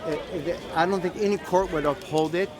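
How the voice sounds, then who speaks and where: A man's voice: on the high side, fairly even intonation, fairly quick. An American man speaking off the cuff as an activist in an outdoor interview, emphatic and self-assured, repeating phrases for emphasis. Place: outdoors at a public rally. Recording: outdoor crowd noise behind voice. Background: crowd chatter.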